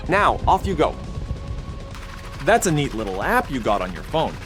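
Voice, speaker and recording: A man's voice: mid-range voice, very animated, moderate pace, music in the background, lightly acted. A man voicing an upbeat, friendly inventor in a comic dub, chummy and slangy. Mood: showy.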